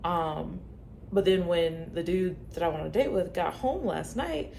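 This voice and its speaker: A woman in her thirties co-hosts a casual podcast chat, her voice sitting a little low. She rambles through a story with lots of ums and likes. A faint hiss runs under the recording. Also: moderate pace, vocal fry, darkish tone. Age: 30s. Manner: rambling storyteller with many fillers. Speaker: podcast co-host.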